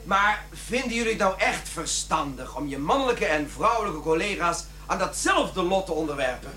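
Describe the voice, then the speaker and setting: A man's voice: high voice, animated, moderate pace, bright, forceful tone, slight background noise. A man playing an irritable, self-righteous office worker in a radio drama, defensive, pompous and wordy in his way of talking. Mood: preachy, pompous.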